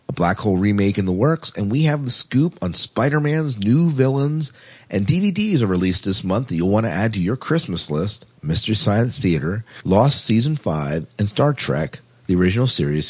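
A man's voice: fairly low pitch, husky, dry recording. A man hosting a podcast in a casual, playful, jokey chat style. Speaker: podcast host.

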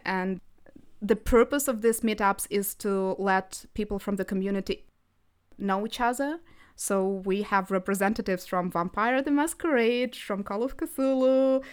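Smooth-voiced woman guest. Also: on the high side, measured pace. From Crimea, Ukraine. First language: Russian. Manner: animated storytelling, frequent hesitations. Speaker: podcast guest. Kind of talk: casual interview chat.

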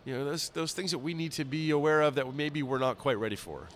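A man with a raspy, nasally congested voice, chatting casually as a podcast host. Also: fairly high pitch, fast. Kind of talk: casual interview chat. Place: conference center during a busy event. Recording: live at a busy event venue.

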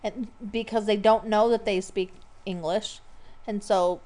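A woman's voice: mid-range voice, steady tone, measured pace, dark tone. A woman co-hosting a casual podcast, asking questions and correcting and explaining her partner's answers. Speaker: podcast co-host. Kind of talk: casual chat.

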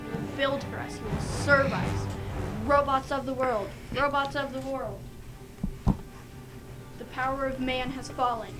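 A woman's voice: high-pitched, steady tone, unhurried, long pauses, music in the background, poor audio. A young woman playing a commanding robot leader in a radio play, declaiming orders. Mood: triumphant, commanding. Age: teenager or young adult. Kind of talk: youth radio theatre acting.

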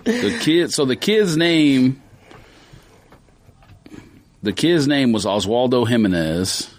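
A man's voice: medium pitch, sing-song, takes it slowly. A man co-hosting a sneaker podcast, talking casually and informally as he explains his opinions. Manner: informal, slangy.

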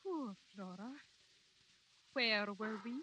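An actress playing a tender, wistful and theatrical estranged wife in the style of 1930s radio drama. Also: female voice, mid-range voice, expressive, takes it slowly. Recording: muffled, hissy 1930s radio recording.

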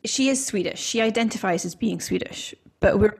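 A woman's voice: mid-range voice, normal speed, darkish tone, slightly rough. A woman from Scotland hosting a podcast, talking in a chatty, rambling way with plenty of fillers and restarts. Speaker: podcast host. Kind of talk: casual podcast conversation.

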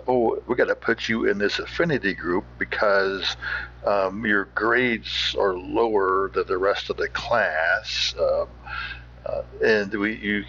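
A man hosting a podcast, giving casual off-the-cuff commentary in a halting, musing way. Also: sits low, pushed and bright, slightly rough. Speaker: podcast host. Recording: slightly muffled sound.

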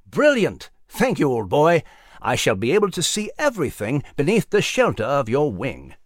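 A man's voice: fairly high pitch, huge pitch swings, normal speed, dry recording, clean studio sound. A man voicing a cheerful, proper little male mouse who speaks with prim, old-fashioned exclamations. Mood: grateful.

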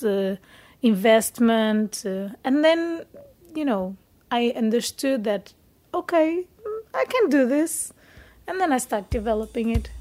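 Hesitant young Portuguese-accented woman, higher voice. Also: darkish tone. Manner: hesitant, searching for words in second-language English. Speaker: interviewee. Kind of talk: casual personal storytelling in an interview.

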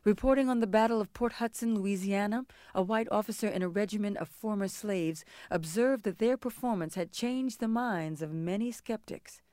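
A woman's voice: mid-range voice, moderate pace, few pauses, dark tone, no echo. Casting: woman for scripted documentary narration, mid-range voice, dry studio-like sound.

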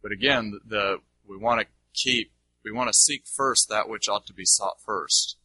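A man's voice: fairly low pitch, a little slow, sharp tone, slightly rough, no room sound, rough recording. A man answering audience questions as a teacher, informally and emphatically, often repeating himself and checking that listeners follow.

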